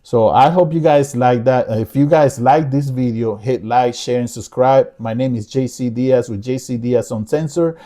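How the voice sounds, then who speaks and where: Vlogger, middle-aged man from the Dominican Republic; mellow, dark tone; earnest, repeats himself and restarts lines. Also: medium pitch, moderate pace, hardly any pauses. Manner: earnest, repetitive, frequent restarts. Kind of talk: casual opinion monologue.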